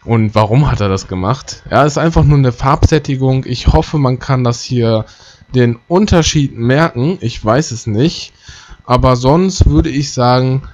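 A man's voice: mid-range voice, a little slow, a little mellow, dull audio. A man giving casual let's play commentary, chatty and joking as he thinks aloud. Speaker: gaming YouTuber.

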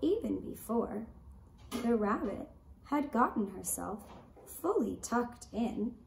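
A woman telling a children's puppet story in a deliberate, expressive way, recorded from a few feet away indoors. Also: on the high side, gravelly. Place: indoor room set up as puppet stage. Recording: roomy echo, faint background noise.

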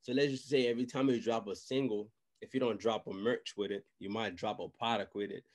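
A man's voice: fairly low pitch, a little fast. Young man giving casual, slangy advice. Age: young adult. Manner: animated, slangy, repeats phrases. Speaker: podcast host and music industry adviser. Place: small indoor room, video call. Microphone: desk microphone, close.

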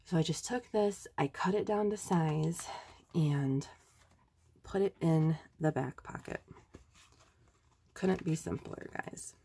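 A woman's voice: low-pitched, slow pace, vocal fry, pauses often, mellow, slight background noise. A young woman with a stuffy, nasal voice from a sinus infection, chatting casually through a planner tutorial at her desk. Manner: chatty, enthusiastic, many ums. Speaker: planner video creator. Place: indoors at a desk.

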